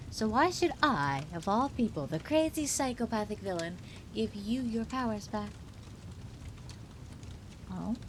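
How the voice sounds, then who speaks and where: Woman playing a high-voiced, taunting female supervillain. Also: noisy background. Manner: theatrical, sing-song teasing with frequent giggling. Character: gleeful, taunting, unhinged female supervillain toying with captive. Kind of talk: ASMR roleplay.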